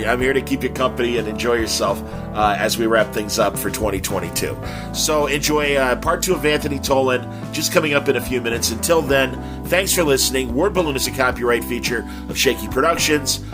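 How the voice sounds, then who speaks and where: A man's voice: mid-range voice, brisk. A man hosting a podcast, speaking casually and unscripted straight to listeners in a friendly, rambling way. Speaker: podcast host. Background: guitar music.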